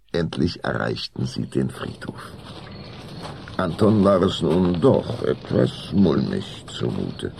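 Male storyteller, very deep, hugely varied intonation, suspenseful, theatrical, children's audio drama, faint hiss. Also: gravelly, dark tone, breathy edge, dry recording. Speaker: narrator. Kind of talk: dramatic scripted narration of children's horror story.

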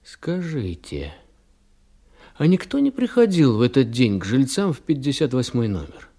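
A male police investigator in a radio drama. He is calm and courteous, yet probing, with dry irony. His mid-pitched voice moves in lively inflections as he questions. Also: moderate pace, slightly rough, exaggerated voice. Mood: businesslike, polite. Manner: polite, probing, dryly ironic.

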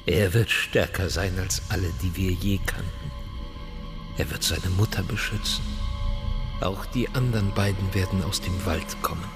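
A man's voice: sits low, fairly even intonation, moderate pace, over music, lightly acted. An actor playing a grave, knowing village medicine man who speaks solemnly and prophetically in a radio drama. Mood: solemn prophecy. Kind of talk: audio drama acting.

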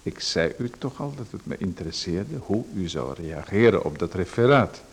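An actor voices a sinister visitor in a radio play who knows far too much about the man he is calling on. His voice sits somewhat low, and he speaks calmly and with insinuation. He turns polite questions aside and never answers them straight. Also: normal speed, breathy edge, heavily acted. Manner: calm, insinuating, politely evasive. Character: sinister, overly familiar male visitor who watches a playwright.

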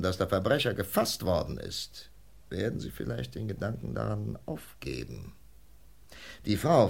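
An actor playing a methodical, persuasive FBI investigator in a German radio crime drama, measured, lecturing and emphatic. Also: male voice, low voice, vocal fry, exaggerated voice.

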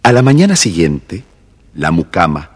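A man's voice: low voice, sing-song, normal speed, breathy edge. A man with an Argentine accent narrating a scripted children's tale, speaking directly to young listeners. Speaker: storyteller, narrator.

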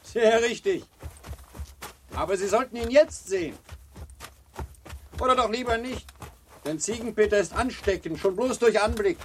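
A man's voice: high voice, normal speed, long pauses, slight background noise, natural voice. Army major, forties male actor, radio play: urbane, flirtatious, gallant, with insinuating charm. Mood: bantering. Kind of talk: German literary radio drama acting.

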